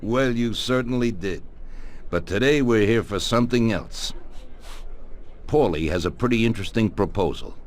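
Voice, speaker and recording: An actor playing a genial, commanding older mob boss in a video game, with a measured, authoritative way of talking. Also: male voice, on the low side, lightly acted. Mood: pleased, genial. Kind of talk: video game cutscene acting.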